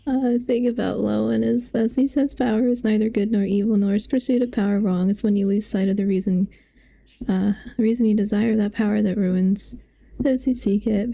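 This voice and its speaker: A woman comments casually on a game as she streams. Her tone is very dark and mellow at a middling pitch, and she thinks aloud in a reflective way. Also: vocal fry, no echo. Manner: musing, reflective. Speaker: livestreamer.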